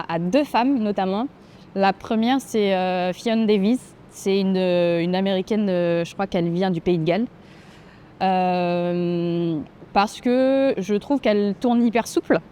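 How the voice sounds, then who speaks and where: A young woman from French Guiana speaks in a mellow, warm tone at a middle pitch. She answers interview questions thoughtfully, hesitating often and filling pauses as she gathers her words. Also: a little hiss or hum. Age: 20s. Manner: thoughtful, hesitant, frequent fillers. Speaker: grappling athlete interviewed. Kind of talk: documentary interview.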